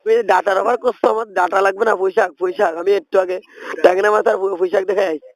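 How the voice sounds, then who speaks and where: A man's voice: high voice, fairly even intonation, quick pace, no echo. A man with a rural regional Bangladeshi accent making a prank phone call, feigning confusion and rambling as he repeats himself. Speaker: prank caller.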